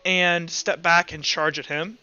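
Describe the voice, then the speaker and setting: A man's voice: fairly high pitch, steady tone, unhurried, pushed and bright, clean tone, no echo, muffled recording. A man acting as game master in a casual, informal tabletop roleplay session over voice chat. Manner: informal, swearing. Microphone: online voice call.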